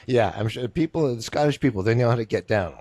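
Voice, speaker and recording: A man's voice: medium pitch, animated, fast talker, darkish tone, no room sound, clean studio sound. A man hosting an interview on a video call, jokey and rambling, often repeating himself. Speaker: interview host. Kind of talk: casual interview.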